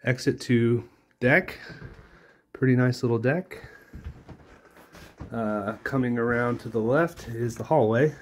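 Slow offhand man on a phone walkthrough. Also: mid-range voice, a little mellow, slight background noise. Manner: slow, offhand, thinking aloud. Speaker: tour guide. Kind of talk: casual walkthrough narration. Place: small house, indoors, walking room to room. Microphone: phone held while filming.

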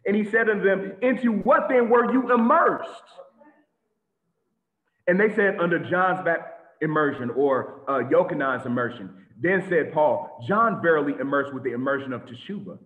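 A man preaching an animated sermon to a congregation over a video call, with lots of repetition and sudden shouts. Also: on the high side, normal speed. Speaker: teacher of a congregation. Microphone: video call webcam. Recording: tinny phone-like echoey audio.

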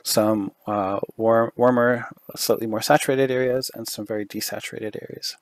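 Brief: man tutoring a 3D tool, low fry-edged voice, dry and close, hoarse, unhurried. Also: no echo. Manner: relaxed, thinking aloud with fillers. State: losing his voice, throat clearing. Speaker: 3D artist giving a tutorial. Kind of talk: screen-recorded software walkthrough.

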